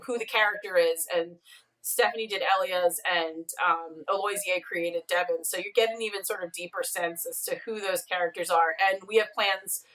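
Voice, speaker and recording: A woman's voice: lowish voice, steady tone, normal speed, fairly bright tone, rough recording. A woman chatting casually as a podcast guest in a video call interview.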